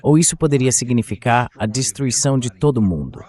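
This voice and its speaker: An AI-generated male voice of medium pitch reads a translated political commentary. The delivery is plain-spoken and explanatory, in the manner of a discussion guest. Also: lively intonation, fairly quick, darkish tone. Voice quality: synthetic AI voice.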